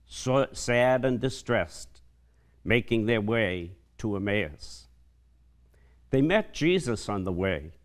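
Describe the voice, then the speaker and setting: Slow, measured older priest preaching. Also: male voice, medium pitch. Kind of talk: homily at Mass. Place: church sanctuary, at a lectern. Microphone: lectern microphone.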